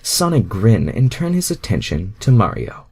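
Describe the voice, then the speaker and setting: A man's voice: lowish voice, huge pitch swings, moderate pace, gravelly, mellow, slightly rough. A man doing a casual amateur story reading, self-deprecating and breaking off to comment. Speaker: amateur fan fiction reader.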